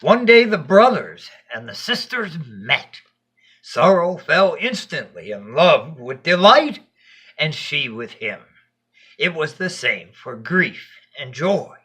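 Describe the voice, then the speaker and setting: Male storyteller, bright forceful tone, husky edge, expressive bedtime narration, deliberate phrasing. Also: fairly high pitch.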